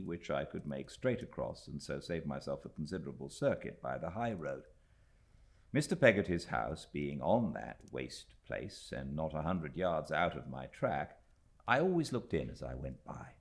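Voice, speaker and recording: A British man narrates classic literature in an extremely low voice. There is a gravelly creak to it. His delivery is measured and expressive, with deliberate pauses that shape each phrase. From Britain. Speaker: audiobook narrator. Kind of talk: literary audiobook reading of a classic novel.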